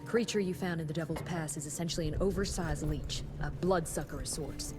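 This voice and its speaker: A woman's voice: sits low, a little fast, music in the background, lightly acted. An actress playing a formal, stiffly polite female doctor who heads a secret cell, in a video game cutscene.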